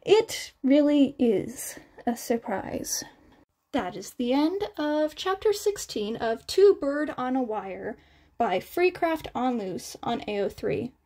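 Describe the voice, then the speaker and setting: High-voiced woman narrating slowly. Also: very animated, mellow. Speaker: podfic narrator. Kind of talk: scripted fan fiction narration.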